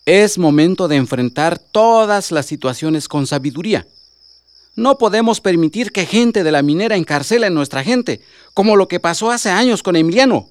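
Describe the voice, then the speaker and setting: A Mexican man acting a wise, resolute village leader, measured and solemn, in a radio soap opera. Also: high-pitched, animated, no echo.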